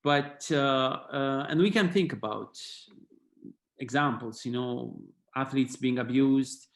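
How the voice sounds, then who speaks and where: Italian man with a gravelly voice. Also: medium pitch, takes it slowly. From Italy. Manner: hesitant, many fillers and restarts. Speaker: panelist, head of policy at a sports human rights body. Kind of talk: online panel talk with slides. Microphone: video call.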